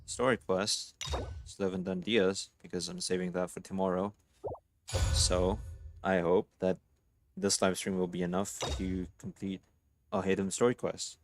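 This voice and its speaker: A young male streamer with a low voice chats informally while reacting to a game. His delivery is rambling and offhand, with music playing clearly behind him. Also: level tone, a little slow. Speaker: live streamer. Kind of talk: casual live game reaction commentary.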